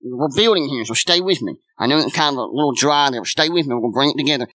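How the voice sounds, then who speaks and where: A man's voice: mid-range voice, fast, sharp tone, no echo, poor audio. A man preaching a conversational sermon as a pastor, asking rhetorical questions and urging listeners to stay with him.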